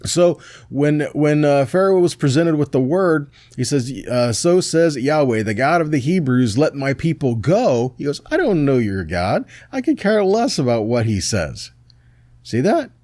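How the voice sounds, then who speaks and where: Animated man preaching, very dry sound. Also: fairly high pitch, unhurried, no room sound. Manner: didactic, checks in with short tag questions. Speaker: preacher teaching a Bible study. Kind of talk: sermon, scripture teaching with readings.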